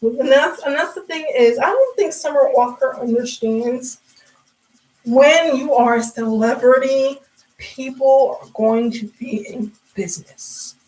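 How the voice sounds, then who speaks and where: A young woman hosting a casual livestream chat, talking in a sassy, chatty way, her voice hoarse and raspy from hours of talking. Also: high voice, a little slow, a little mellow, rough recording. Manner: sassy, chatty, calls viewers sweetie and honey. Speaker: livestream host.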